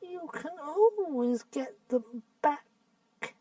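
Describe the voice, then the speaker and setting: A storybook reader voicing a shrill, whining old hag who wheedles and flatters. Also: very slow, no echo, recorded from a distance, full character voice. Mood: wheedling.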